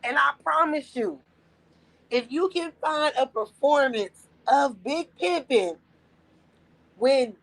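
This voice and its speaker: A woman's voice: high-pitched, expressive, slow pace, long pauses, fairly bright tone, poor audio. A woman co-hosting a casual podcast chat, blunt and profane, losing her voice. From Las Vegas area. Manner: blunt, profane, emphatic storytelling. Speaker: podcast co-host.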